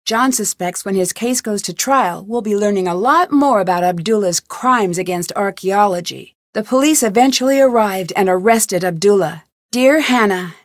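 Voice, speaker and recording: A woman's voice: medium pitch, normal speed, few pauses, no room sound, clean studio sound, natural voice. An actress voicing a wry young woman detective in scripted game narration. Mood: wrapping up.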